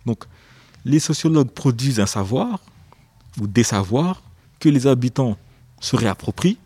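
A man's voice: mid-range voice, animated, normal speed, dark tone, slightly rough, dry recording. A young man, an author being interviewed on the radio, speaking thoughtfully and hesitantly, often repeating words as he searches for phrasing. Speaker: author guest.

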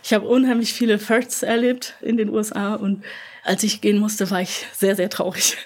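A woman's voice: mid-range voice, flat delivery, moderate pace. A young woman talking casually as an interview guest, chatty, with many fillers and laughs.

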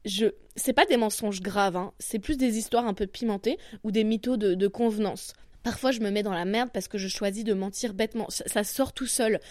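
A woman's voice: medium pitch, normal speed, few pauses, no room sound, clean studio sound. A woman with a Parisian accent, a comedian hosting her podcast in a crude, self-mocking, rambling monologue. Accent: Parisian French. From France. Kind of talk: comic monologue.

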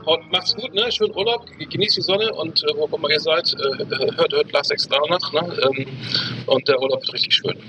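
A man co-hosting a casual podcast, rambling haltingly and repeating words as he searches for the next one. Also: a little high, background noise. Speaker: podcast co-host. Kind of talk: casual chat. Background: music.

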